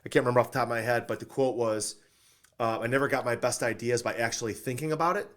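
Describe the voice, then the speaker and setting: Man, podcast host, upbeat interview delivery, enthusiastic, affirming, medium pitch. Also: quick pace, fairly bright tone.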